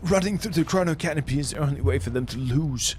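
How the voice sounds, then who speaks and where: Man playing a desperate, urgent wounded fighter, raised pitch. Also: fast, a little mellow, faint music behind, natural voice. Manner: urgent, commanding warnings.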